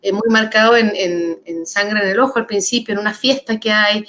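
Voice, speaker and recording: A woman with a Chilean accent reflects aloud in a panel discussion. Her voice sits at a middle pitch. She is thoughtful and searching, circling a point with pairs of words until she lands on it. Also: steady tone, moderate pace, a bit bright, a little hiss or hum. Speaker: author on a literary panel.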